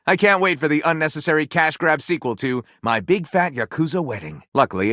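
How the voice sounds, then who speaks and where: A theatrical male storyteller from a video game, with a very lively pitch that swings widely. He narrates like a grand storybook, mock-solemn one moment and gushing the next, in a close, dry studio sound. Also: no echo. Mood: gushing, excited. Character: theatrical, excitable male storyteller, a datable book-loving narrator character. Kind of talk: dramatic tongue-in-cheek storybook narration.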